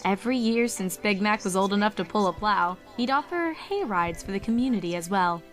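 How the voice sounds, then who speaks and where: A woman's voice: mid-range voice, animated, a little fast. A woman reading a scripted story as a dramatic narrator. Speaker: fan fiction narrator. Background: music under the reading.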